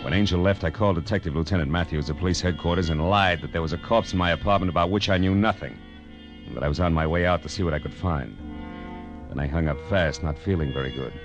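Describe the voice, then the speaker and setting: An actor playing a wry, hardboiled private detective in an old radio crime drama, with dry wisecracking delivery. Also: male voice, sits low, quick pace, muffled recording, music in the background. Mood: wry, narrating. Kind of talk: hardboiled 1950s radio detective narration and dialogue.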